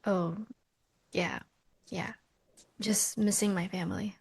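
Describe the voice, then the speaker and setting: An actress playing a nervous, guarded young American woman, hesitant and halting, in a romcom table read. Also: mid-range voice, steady tone, vocal fry, slightly rough, full character voice. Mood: wistful.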